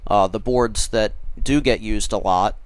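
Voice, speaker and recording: Congested low-voiced man explaining casually. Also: unhurried, no echo, poor audio. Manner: rambling, hesitant, restarts often. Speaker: organizer of an online prank call event.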